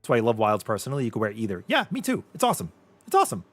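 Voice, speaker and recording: A man with a light voice, often taken for a girl's, chatting casually with viewers as a VTuber streamer, animated, with quick laughs. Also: medium pitch, no room sound, faint music behind. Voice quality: light voice he says is mistaken for a girl's. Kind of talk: casual livestream chat with viewers.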